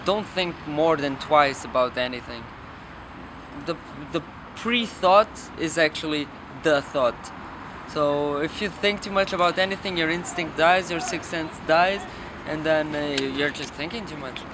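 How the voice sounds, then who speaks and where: Higher-voiced man talking amid street noise. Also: a little slow. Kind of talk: casual unscripted advice. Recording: clear outdoor street and traffic noise.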